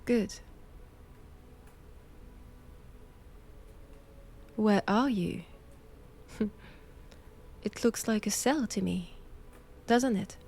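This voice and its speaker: A woman with a French accent playing a knowing, menacing nun captor in an intimate roleplay audio drama, with measured, teasing, formal old-fashioned phrasing. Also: medium pitch, creaky, darkish tone, breathy edge, heavily acted. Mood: cool, taunting.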